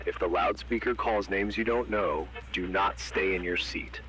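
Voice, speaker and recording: A man voicing a grim emergency broadcast announcer who gives flat, ominous, commanding warnings. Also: deep voice, moderate pace, over a noisy crowd, natural voice, rough recording. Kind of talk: analog horror warning broadcast.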